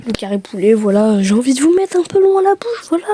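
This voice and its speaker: A woman's voice: high voice, big pitch swings, fast talker, warm tone, husky, no room sound. A young woman from France chatting casually to her camera, hesitant with many fillers and self-mocking asides. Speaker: vlogger.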